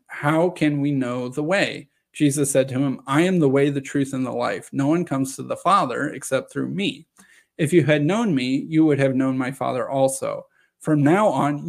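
A slightly hoarse man giving a measured lecture, reading scripture passages aloud and explaining them. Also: medium pitch, a little mellow. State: slightly hoarse from long talking. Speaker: video presenter on scripture. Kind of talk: lecture-style reading and commentary.

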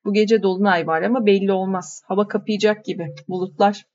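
A woman's voice: medium pitch, steady tone, a little fast, a little mellow, lo-fi sound, lightly acted. A woman reading as a chatty village fisherman. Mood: explaining. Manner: explains patiently, like reading from an encyclopedia. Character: middle-aged village fisherman, friendly, talkative.

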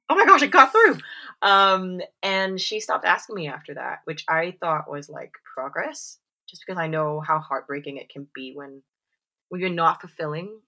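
Low-pitched, bright-toned chatty woman. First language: English. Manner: chatty, many fillers and "like"s; acts out quoted voices. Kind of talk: casual chat.

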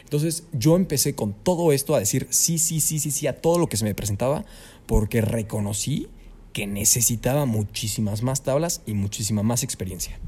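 A young man with a Mexican Spanish accent, a student guest telling his story in a casual podcast interview, animated and peppered with "you know?". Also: medium pitch, normal speed, breathy edge. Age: young adult. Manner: animated storyteller, leans on "¿sabes?".

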